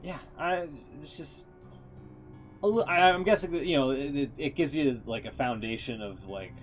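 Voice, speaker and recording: A man's voice: medium pitch, moderate pace. A man giving casual, rambling live-stream commentary as a game streamer, in an informal way. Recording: music playing behind the voice.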